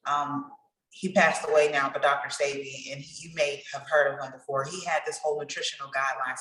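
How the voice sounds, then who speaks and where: Low-voiced woman, roomy and distant. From Pittsburgh, United States. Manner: relaxed, rambling, many fillers. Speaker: interview guest. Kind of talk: casual interview talk. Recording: echoey room, far from the mic.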